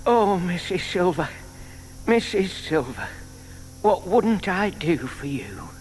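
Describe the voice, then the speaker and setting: A lonely, lovelorn older gentleman in an audio drama. His voice sits slightly high, and he speaks hesitantly and wistfully, as if muttering to himself. There is faint noise on the recording. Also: sing-song, slow, full character voice. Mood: wistful yearning. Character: shy, lovelorn, lonely retired gentleman neighbour. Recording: faint hiss on old cassette drama.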